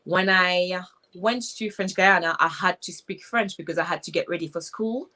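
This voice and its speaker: A woman's voice: on the low side, moderate pace, sharp tone. A young woman from Haiti with a strong Haitian accent, a guest speaking reflectively in a casual interview over a video call. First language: Haitian Creole.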